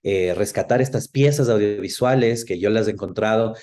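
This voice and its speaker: A man's voice: fairly low pitch, normal speed, mellow. A man with an Ecuadorian accent speaking reflectively as an interview guest, explaining things in a conversational way. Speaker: interview guest, film professional. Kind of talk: conversational interview, personal recollection.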